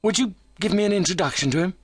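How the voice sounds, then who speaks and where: A man voicing an overwrought but plucky young injured engineer in an audiobook, excitable, earnest and well-mannered. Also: sits high, fast talker, breathy edge, dry recording, clean studio sound, pitched above the performer's own voice. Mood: agitated, earnest. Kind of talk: audiobook character voice.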